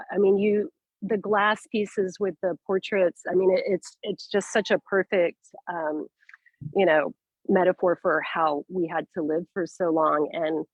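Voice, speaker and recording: A woman artist chatting casually and in a relaxed way on a video call. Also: medium pitch, slightly rough, no echo, narrow, tinny audio.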